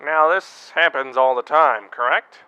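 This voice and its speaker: A man playing a bright, eager field reporter. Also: a little high, measured pace, clear, resonant tone. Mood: upbeat. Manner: chatty, upbeat interviewer. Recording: dull lo-fi recording.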